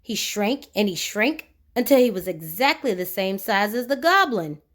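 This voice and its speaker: A woman storyteller reading aloud for children. Her mid-pitched voice moves with lots of lively variation, and she stretches key words for dramatic emphasis. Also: moderate pace.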